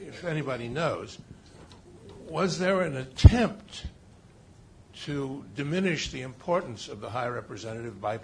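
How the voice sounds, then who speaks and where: A man asking a halting question at a panel discussion. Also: medium pitch, vocal fry, slight background noise. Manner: halting, with fillers. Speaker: audience member asking a question.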